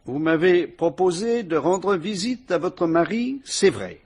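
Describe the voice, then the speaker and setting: An actor playing a shrewd police inspector who questions a suspect with dry irony, in a vintage radio drama. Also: male voice, high-pitched, sing-song, measured pace, a little mellow, breathy edge, full character voice. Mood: probing, ironic. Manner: sly, probing, mocking interrogation.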